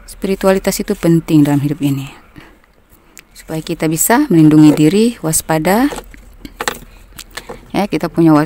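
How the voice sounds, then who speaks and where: A woman with a low, deep-set voice and a North Maluku accent talks through a tarot reading. Her delivery is gentle and unhurried, calm and reassuring toward the listener. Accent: North Maluku Indonesian. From North Maluku, Indonesia. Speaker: tarot reader. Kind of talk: casual tarot reading monologue.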